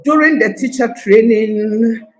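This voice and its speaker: An older woman from Ghana with a Ghanaian Ashanti accent touched by Scottish, telling of her years as a teacher in a confident, deliberate way. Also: fairly high pitch. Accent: Ghanaian, Ashanti (Akan) with some Scottish. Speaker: guest, retired teacher. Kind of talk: interview conversation.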